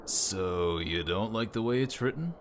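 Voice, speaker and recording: A man's voice: on the low side, animated, measured pace, slight background noise. A man playing a troubled, sheepish roguish hero in a fan visual novel drama, with a hesitant delivery. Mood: sheepish, dejected.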